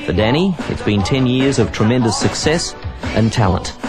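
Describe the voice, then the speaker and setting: A man reporting in measured, journalistic narration and asking interview questions. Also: medium pitch, animated, music in the background.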